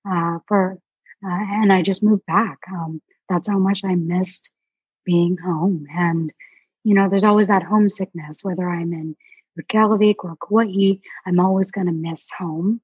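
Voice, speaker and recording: A young woman from Alaska, an artist chatting as a guest in a relaxed, rambling way with many fillers. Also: medium pitch, measured pace, breathy edge, no room sound, highs cut off. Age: young adult. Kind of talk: casual interview chat.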